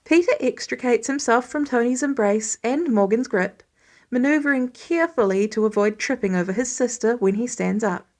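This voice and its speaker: Higher-voiced woman narrating a story. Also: moderate pace, darkish tone. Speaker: fan fiction audiobook reader.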